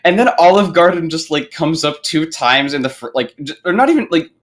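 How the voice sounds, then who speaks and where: A man's voice: on the high side, expressive, fairly quick. A young adult man chatting casually as a podcast panelist, circling back over his phrases and swearing freely. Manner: hesitant, repetitive phrasing, sweary. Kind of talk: casual chat about movies.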